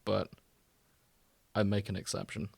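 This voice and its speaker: A man's voice: on the low side, completely flat delivery, unhurried, vocal fry, darkish tone, slightly rough, no room sound. Casual male podcast co-host, dry close sound. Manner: chatty, informal, swears. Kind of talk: casual banter.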